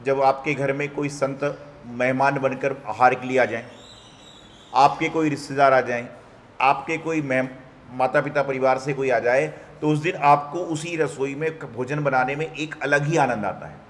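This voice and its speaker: A Jain monk giving a Hindi sermon, telling stories, asking rhetorical questions and mimicking what people say. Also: male voice, mid-range voice, steady tone, normal speed. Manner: storytelling with rhetorical questions and mock quotes. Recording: hall reverb, faint background noise.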